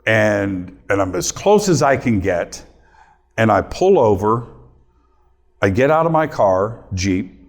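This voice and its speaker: A man's voice: fairly low pitch, very animated, slow pace. A middle-aged man, a radio host, telling a story to a live audience and acting out his own quotes. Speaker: radio host giving a talk.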